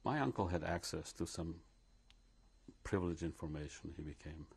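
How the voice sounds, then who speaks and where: An older man speaks in a deep, slightly husky voice. He recounts memories in a measured, reflective way, pausing and restarting often. He is recorded on a lapel mic in a living room. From Poland. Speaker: interviewee. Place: living room, seated before curtains.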